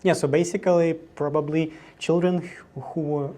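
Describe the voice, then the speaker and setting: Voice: mid-range voice, fairly even intonation, moderate pace, dark tone, slight background noise. An interviewer asking questions in English with some non-native phrasing.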